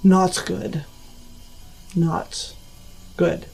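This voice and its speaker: A man plays a grave, principled armada leader in a sci-fi roleplaying game. The voice is highish for a man, very creaky and husky, with terse, firm delivery. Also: level tone, very slow, a little mellow, full character voice, rough recording.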